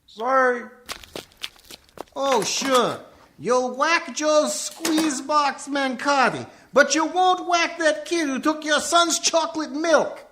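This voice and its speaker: A woman's voice: high-pitched, slow pace, fairly bright tone. A woman acting a chatty, needling restaurant partner of a mobster in a comedic radio play, earnest and nagging.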